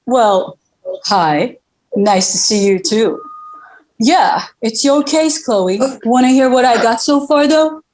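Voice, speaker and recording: A woman voicing a gruff, dismissive alpha-dog male police detective at a table read of a TV crime drama. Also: on the high side, darkish tone, slight background noise, low-quality recording. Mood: offhand. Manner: offhand, dismissive, unhurried.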